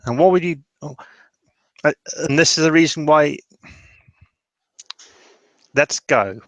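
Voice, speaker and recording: A man playing a tormented, bitter mage in an improvised tabletop roleplay, speaking haltingly and emotionally, full of regret. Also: fairly high pitch, no room sound, pitched above the performer's own voice. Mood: defensive, agitated. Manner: halting, emotional, swearing, trailing off mid-sentence.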